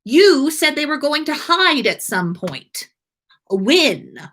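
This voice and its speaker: A woman's voice: sits high, sing-song, slow, fairly bright tone, pitched above the performer's own voice, full character voice. Lady doing a snobby irritable young wizard guy in a story, drawls it out, very up and down. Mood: indignant, exasperated. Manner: snooty, scornful, exasperated. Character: haughty, irritable young wizard man, easily flustered.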